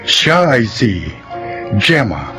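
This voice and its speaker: A man with a Filipino accent announcing a classic radio drama in a deliberate, dramatic manner. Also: medium pitch, sing-song. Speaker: announcer-narrator. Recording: clear music bed behind the voice.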